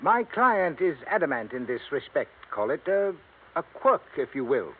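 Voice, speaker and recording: Prim old lawyer, a bit high, clipped and formal, tinny old radio sound. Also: male voice, big pitch swings, moderate pace, slightly rough. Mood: guarded. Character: older male lawyer, precise, guarded. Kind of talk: 1950s radio drama acting. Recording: tinny lo-fi 1950s radio broadcast.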